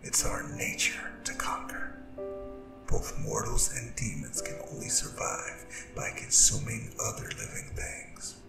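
Man as a whispery, gravelly demon king. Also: lowish voice, expressive, exaggerated voice. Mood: proud, persuasive. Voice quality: breathy, gravelly half-whisper. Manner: slow, grandiose, deliberate. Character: vengeful, seductive demon king, proud and menacing. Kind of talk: ASMR audio roleplay, dramatic monologue. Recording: roomy reverb, distant, music behind. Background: music.

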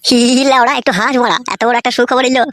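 Man playing brash young cartoon husband, coarse manner, very rapid delivery, dry close sound. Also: very high voice, fairly even intonation, bright, forceful tone, no room sound. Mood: teasing, lewd. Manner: coarse, swearing, boastful. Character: brash, crude young village husband with two wives. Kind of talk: comic cartoon dialogue.

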